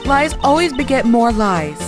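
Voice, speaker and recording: Game fan dub mentor female actor: assured lawyer, slightly high voice, instructive and encouraging, music underneath. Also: sing-song, measured pace. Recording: music over the voice.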